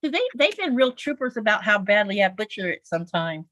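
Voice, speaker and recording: A woman's voice: medium pitch, very animated, brisk, fairly bright tone. A woman with a Southern US accent, chatting casually on a livestream in an explanatory, folksy way. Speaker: quilter guest on a livestream.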